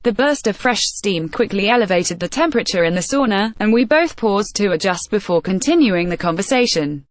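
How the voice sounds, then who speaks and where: A woman narrating a travel feature article in a steady, even reading voice with odd pauses. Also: medium pitch, dry recording.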